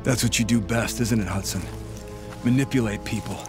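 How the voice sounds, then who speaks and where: A man's voice: fairly low pitch, fairly quick, over music, natural voice. An actor playing a confrontational male soldier in a game, firing accusing questions.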